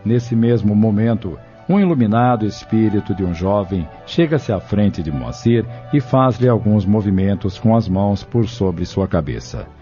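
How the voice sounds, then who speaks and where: A dull recording with soft music behind a Brazilian man narrating an audio novel. His voice is dark and lowish, and he tells the story in a formal, literary way. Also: animated, normal speed, hardly any pauses.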